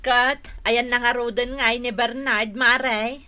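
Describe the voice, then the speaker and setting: A woman's voice: on the high side, fairly even intonation, measured pace, pushed and bright. A woman acting a teasing, chatty neighbour in a Filipino radio drama. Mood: teasing, curious.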